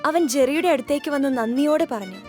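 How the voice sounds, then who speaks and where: A woman's voice: sits high, a little fast, darkish tone. A woman narrating a scripted children's story as a storyteller. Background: clear background music.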